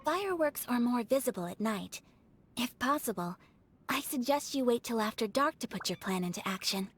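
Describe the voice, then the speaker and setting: A woman playing a refined, thoughtful young noblewoman in an anime game, speaking in a measured, composed and formal way. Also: fairly high pitch, expressive, a little hiss or hum, close to an everyday voice. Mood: calmly firm. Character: poised, refined young noblewoman, thoughtful strategist, gently serious. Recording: background music under the voice. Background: music.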